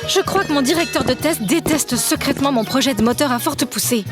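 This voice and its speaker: A woman's voice: high-pitched, brisk, loud music behind the voice, natural voice. A woman playing an earnest, affectionate young science student in a naturalistic fiction podcast.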